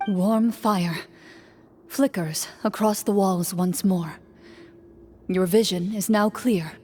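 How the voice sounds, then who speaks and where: Game role: woman playing a composed female game master, medium pitch, formal dramatic narration, faint noise. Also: takes it slowly, darkish tone, lightly acted. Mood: solemn, measured. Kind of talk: anime-style game voice acting.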